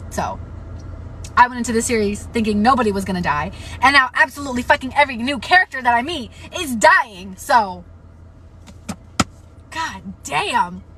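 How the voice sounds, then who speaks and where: A young woman talks loosely and frankly about books on her live stream, swearing freely and filling her speech with "like." Her voice is bright and edgy but worn a little hoarse. A faint noise sits under the recording. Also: mid-range voice. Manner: chatty, frank, swears freely, many "like" fillers. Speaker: book livestreamer. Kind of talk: casual live-stream book chat.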